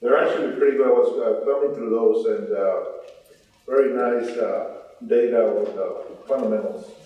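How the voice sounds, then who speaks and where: A man's voice: medium pitch, normal speed. A man moderating a meeting and introducing a speaker, hesitant with many filler sounds. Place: meeting room. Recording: dull, echoey room from far off.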